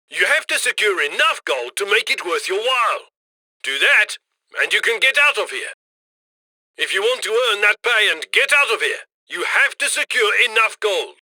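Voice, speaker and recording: A brash, upbeat male mission handler from a video game, barking cocky instructions to a criminal crew. His voice sits high and cuts through with a sharp, very bright edge. The sound is dry and close, with punchy, commanding delivery. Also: no room sound, exaggerated voice. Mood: urgent, businesslike. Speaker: mission handler giving instructions over comms.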